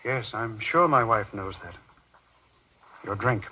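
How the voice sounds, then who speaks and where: An actor playing a stern, tense doctor in old radio horror, speaking in terse, clipped replies. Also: male voice, on the low side, expressive, takes it slowly, sharp tone, breathy edge, full character voice. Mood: grimly controlled. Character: tense doctor husband, stern and coldly resolved. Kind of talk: golden age radio horror acting. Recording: noisy old radio drama recording.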